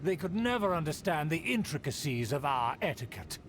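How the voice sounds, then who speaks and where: An actor playing a haughty, scheming middle-aged aristocrat in a video game, speaking in a pompous, indignant manner. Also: male voice, a little high, sing-song, brisk, a little hiss or hum.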